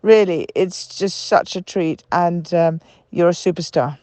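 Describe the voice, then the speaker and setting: A woman's voice: fairly low pitch, a little slow, mellow, no room sound. A woman hosting a storytime show, giving an effusive, gushing introduction. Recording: dry voice over background music. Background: music.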